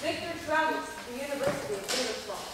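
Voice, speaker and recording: A woman's voice: fairly high pitch, expressive, fast talker, close to an everyday voice. A schoolgirl playing a bold young woman author who tells a gothic horror story in a dramatic, teasing way on stage. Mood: intense, building. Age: child or young teen student. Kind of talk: stage reading of a gothic radio play. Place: large echoing school performance space. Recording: echoey hall from far off, faint noise.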